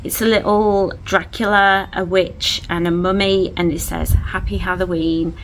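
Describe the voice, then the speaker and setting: Chatty woman showing off her haul at home, lowish voice, bit of hiss behind. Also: flat delivery, measured pace, low-quality recording. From England. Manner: gushing, enthusiastic, chatty. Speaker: vlogger. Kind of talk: casual haul chat to camera. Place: at home indoors.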